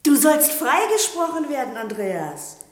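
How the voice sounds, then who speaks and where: Excitable, high-pitched companion, echoey. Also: female voice, measured pace. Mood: cheerful, coaxing. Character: excitable, solicitous woman companion. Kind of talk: radio play acting. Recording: echoey 1960s radio drama, faint music.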